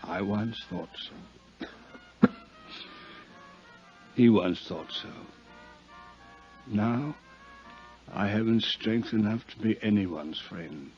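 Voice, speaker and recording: A man's voice: lowish voice, huge pitch swings, extremely slow, pauses often, faint music behind, heavily acted. An actor playing a drunk, world-weary old man with formal, florid phrasing in a 1950s radio drama. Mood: melancholy, bitter. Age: older. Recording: muffled 1950s radio recording.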